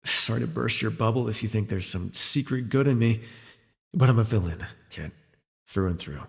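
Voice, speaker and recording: A man playing a jaded, wry imprisoned telepath supervillain in an ASMR audio roleplay, speaking in a sardonic, weary, gravelly way with sighs. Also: on the low side, normal speed, big-room sound. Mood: defensive, forceful.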